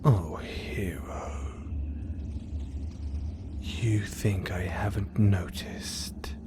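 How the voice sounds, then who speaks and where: Obsessive supervillain, voiced by a man, deep whispery voice, slow intimate delivery, theatrical. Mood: possessive whisper. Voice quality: low gravelly whisper. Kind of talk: whispered audio roleplay.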